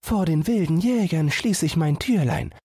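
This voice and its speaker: A man's voice: on the high side, lively intonation, normal speed, warm tone, breathy edge, no room sound, studio quality, pitched above the performer's own voice. A man voicing a caring, anxious young girl in a fairy tale, tender and admonishing.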